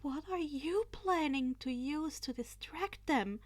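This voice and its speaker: A woman's voice: high voice, lively intonation, takes it slowly, no room sound, voice pushed up. A woman voicing a worried, polite and practical teenage girl hero in a story reading, with a stressed, questioning manner.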